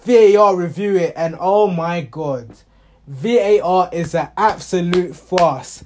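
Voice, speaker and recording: Hoarse, high-voiced fan ranting about a match. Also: male voice, unhurried, darkish tone, slight background noise. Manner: passionate, repetitive, exasperated. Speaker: football fan vlogger. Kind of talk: match recap commentary.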